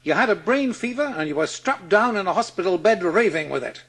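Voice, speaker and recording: A man plays a middle-aged gentleman advisor in an audiobook drama, earnest and steadying. His voice is pitched high for a man, rough-edged and very animated as he reasons. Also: fairly quick, full character voice. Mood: reassuring. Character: sensible, reassuring gentleman advisor and family man.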